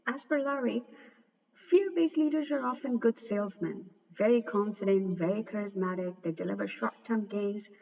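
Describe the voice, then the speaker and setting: A woman hosting a book review, talking through its ideas in an earnest, measured way and stumbling now and then. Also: on the high side, creaky, a little mellow. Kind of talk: reflective book commentary. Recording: dull, echoey recording from a distance.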